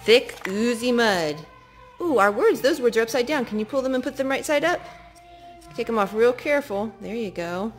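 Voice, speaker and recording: A woman's voice: a little high, lively intonation, moderate pace, faint music behind. Encouraging woman chatting through a kids' craft. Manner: encouraging, sing-song child-directed talk. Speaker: parent hosting a children's craft video. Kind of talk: casual chat with small children while narrating a craft. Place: indoors at home, wood-floored living room. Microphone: handheld phone filming.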